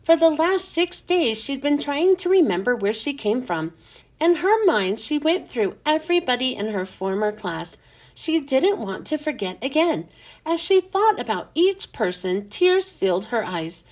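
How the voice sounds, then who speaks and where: High-pitched, animated woman reading a storybook. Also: measured pace. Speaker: children's read-aloud host. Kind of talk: expressive storybook narration.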